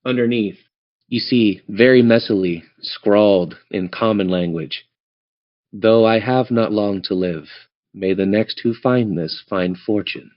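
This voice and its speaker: A man's voice: lowish voice, measured pace. Male game master, off-the-cuff tabletop storytelling, slightly dull recording. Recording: dull lo-fi band-limited audio.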